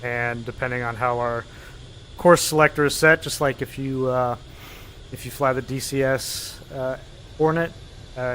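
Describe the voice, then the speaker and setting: A man's voice: medium pitch, a bit bright, a little hiss or hum. Stuffy-nosed man narrating a sim flight. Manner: explanatory, with many uh fillers. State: stuffy, a little nasal. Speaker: flight sim pilot streaming. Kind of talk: live narration of a simulated flight.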